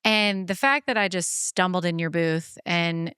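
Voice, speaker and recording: A woman's voice: fairly low pitch, unhurried, fairly bright tone, clean tone, no echo, clean studio sound. A woman hosting a podcast, chatting casually and telling stories in a chatty way, often quoting others. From born in Beaumont, Texas, lives in Charleston. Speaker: podcast host. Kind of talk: casual chat, storytelling.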